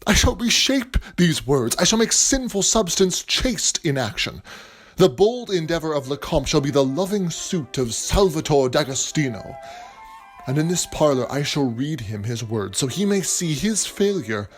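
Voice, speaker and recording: A man plays an excitable, grandiloquent playwright in period audio drama. His voice sits a little high and is full of florid theatricality, with faint music behind. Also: moderate pace. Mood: triumphant. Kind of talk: period stage-play audio drama acting.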